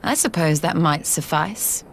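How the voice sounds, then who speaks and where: A composed, low-voiced fantasy heroine. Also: female voice, lively intonation, exaggerated voice. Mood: cool, probing. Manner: formal, measured, archaic phrasing. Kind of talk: video game cutscene acting.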